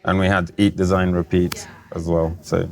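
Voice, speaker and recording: A man from Poland who grew up speaking Polish, talking as a guest in a casual interview at a design festival, explaining things in a relaxed, measured way. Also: sits low, creaky. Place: festival venue, recorded live.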